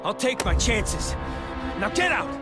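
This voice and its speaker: An actor playing a desperate, bitter, ailing young corporate heir in a video game, speaking curtly under loud music. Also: male voice, sits high, very animated, a little slow. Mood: bitter desperation. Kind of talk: video game cutscene acting.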